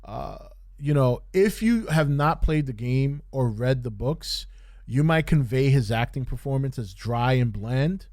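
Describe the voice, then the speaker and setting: A man's voice: medium pitch, animated, a little mellow, no echo. Man casually reviewing, dry close sound. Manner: halting, repeats phrases for emphasis. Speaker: podcast host reviewing TV shows. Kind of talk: casual unscripted review commentary.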